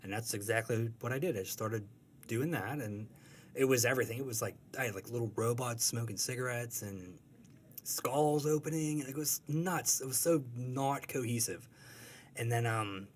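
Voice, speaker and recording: A man's voice: mid-range voice, normal speed, slight background noise. Rambling, self-deprecating man cartoonist. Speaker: comic strip creator, guest. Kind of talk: casual interview talk.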